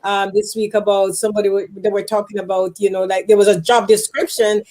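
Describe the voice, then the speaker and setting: A woman's voice: medium pitch, level tone, a little fast, mellow. A woman hosting a live career talk show, speaking emphatically and repeating phrases for effect. English is not her first language. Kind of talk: live interview chat. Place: Canada.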